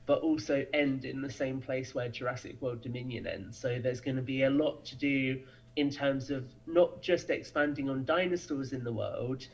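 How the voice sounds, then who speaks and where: A dull, lo-fi recording of a man with a middling pitch. He is a podcast guest who explains his ideas conversationally and with enthusiasm. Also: normal speed, gravelly. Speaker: podcast guest, audio drama creator. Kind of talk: conversational interview answers.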